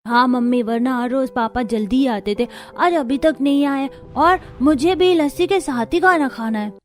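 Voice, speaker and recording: An eager little child from a cartoon story, voiced by a woman. The voice is extremely high, with a pleading childlike manner, over faint music. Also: moderate pace, lightly acted. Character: eager little child who adores lassi and home food.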